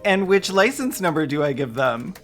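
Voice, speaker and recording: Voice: mid-range voice, big pitch swings, normal speed, a bit bright, faint music behind. A sarcastic, indignant video essayist who swears while delivering scripted investigative narration.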